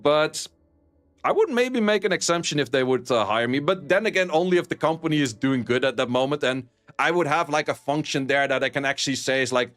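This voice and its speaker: Dutch guy chatting on stream, super direct, swears a lot, laughs a bunch, kinda higher voice. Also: expressive, fairly quick, fairly bright tone. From Netherlands. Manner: blunt, swears freely, laughs often. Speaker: streamer and esports caster. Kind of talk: casual live-stream chat reading viewer messages.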